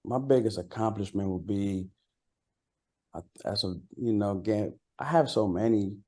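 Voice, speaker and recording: A man speaking as a rapper being interviewed, chatting casually in a slangy, halting way. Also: on the low side, warm tone. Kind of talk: casual chat.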